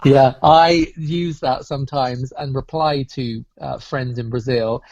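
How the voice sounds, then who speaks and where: A man speaking as a guest in a casual interview, reflective and quick to laugh, heard over a dull remote call. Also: mid-range voice, fairly even intonation, darkish tone, no echo. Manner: reflective, laughs often, restarts phrases. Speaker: cruise entertainment director, guest.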